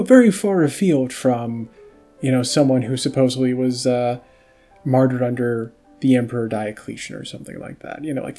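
A man's voice: on the high side, mellow. A young adult man hosting a podcast, chatting casually with many fillers. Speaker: podcast host.

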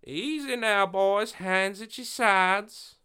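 Man doing a high, slow, drawling Western deputy. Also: pushed and bright, smooth, exaggerated voice. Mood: calm. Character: cool-headed male deputy with a rifle.

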